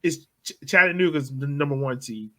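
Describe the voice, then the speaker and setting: A man's voice: medium pitch, lively intonation, fairly quick, bright, forceful tone. A man co-hosting a casual sports podcast, easygoing and laughing along with the others. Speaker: podcast co-host.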